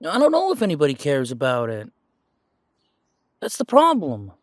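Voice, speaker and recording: A man playing an insecure, defensive werewolf in an audio roleplay, speaking in a halting, stammering way as if confiding in someone close. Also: fairly high pitch, wildly swooping pitch, dry recording. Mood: agitated, defensive. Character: insecure pure-blood male werewolf, self-doubting, defensive. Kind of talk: audio roleplay drama, one side of an intimate conversation.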